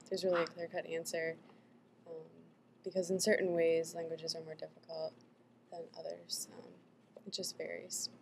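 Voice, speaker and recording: A woman student gives considered, hedged answers, pausing on "uh" and "um", in a slightly low voice. Clear background noise sits under her speech. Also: a little mellow. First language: English. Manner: thoughtful, hedging with "uh" and "um". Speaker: university language student. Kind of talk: interview answers.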